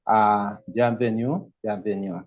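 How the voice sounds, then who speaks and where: A man's voice: on the low side, steady tone, slow pace, dull audio. A man acting as an event host, giving a welcome to a guest speaker. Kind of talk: welcoming introduction.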